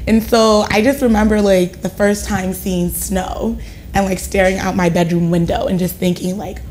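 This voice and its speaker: A woman in her thirties with an American accent, a guest on a talk show who tells lively stories and keeps adding "right?" as she goes. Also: medium pitch, normal speed, slight background noise, low-quality recording. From Ghana, raised in the United States. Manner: animated storytelling, often adds "right?". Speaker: guest, education reformer. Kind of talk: casual talk-show conversation. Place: living-room studio set, indoors. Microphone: lapel mic.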